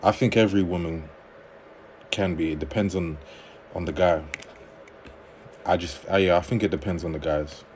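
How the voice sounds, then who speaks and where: A man hosting a livestream on dating advice, reading viewer comments aloud and answering them in a relaxed conversational way. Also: low-pitched, moderate pace, long pauses, a little hiss or hum.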